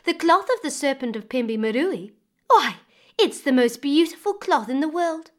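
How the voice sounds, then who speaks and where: A woman voicing a scheming, jealous woman who coaxes with sweet words, higher and louder than her narration. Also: animated, moderate pace. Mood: coaxing.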